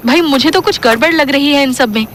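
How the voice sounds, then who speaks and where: A woman's voice: high voice, a little fast, a bit bright, a little hiss or hum. A woman playing a suspicious, chatty young woman who questions her friends in an audio drama. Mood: suspicious, uneasy.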